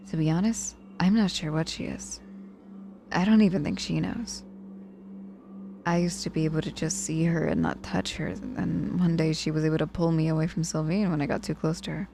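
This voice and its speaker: A woman's voice: sits low, gravelly, dark tone, a little hiss or hum. A woman playing a sardonic but caring young witch in an intimate ASMR audio roleplay, speaking casually and teasingly with feigned reluctance.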